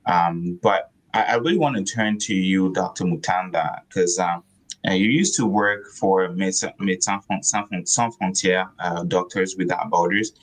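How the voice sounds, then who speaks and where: Male co-host with a very low voice, casual and chatty on a live stream. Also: normal speed, rough recording. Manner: loose and chatty, full of fillers.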